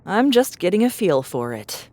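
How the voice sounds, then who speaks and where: A woman's voice: on the low side, sing-song, normal speed, a little mellow. A woman playing a pragmatic, self-assured young survivor in an audiobook drama, confident and matter-of-fact. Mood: reassuring, matter-of-fact.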